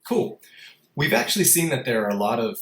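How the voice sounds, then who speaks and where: A man's voice: mid-range voice, very animated, a little fast. A man hosting an interview, putting conversational questions to a guest. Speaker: interviewer, host. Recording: roomy, echoing room sound.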